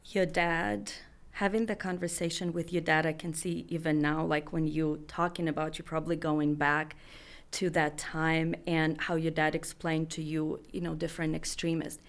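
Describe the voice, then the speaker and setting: A woman's voice: deep voice, level tone, moderate pace. A middle-aged woman who immigrated to America, hosting a podcast and asking earnest, searching questions with frequent restarts. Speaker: podcast host. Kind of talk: conversational interview.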